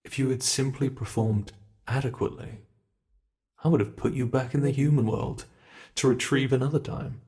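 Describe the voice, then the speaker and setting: A British man voicing an ancient male monster in an ASMR horror roleplay, speaking with soft, mockingly polite menace. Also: medium pitch, fairly even intonation, close to an everyday voice. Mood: possessive menace. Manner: slow, soft-spoken, teasing menace.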